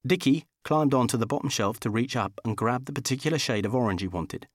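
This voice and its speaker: A man narrating a comic first-person audiobook in a wry, expressive storytelling manner. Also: medium pitch, a little fast, no echo, clean studio sound.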